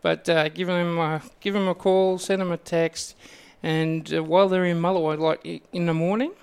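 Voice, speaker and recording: A male radio host with an Australian accent chats casually with studio guests. His voice is fairly high and smooth, and he rambles along haltingly. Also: level tone, darkish tone.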